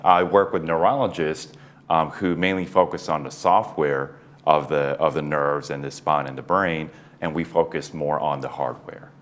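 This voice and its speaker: A man in his forties, a neurosurgeon guest on an interview show, explaining things in a measured way with light chuckles. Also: rumbling low, steady tone. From United States East Coast. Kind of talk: casual interview talk.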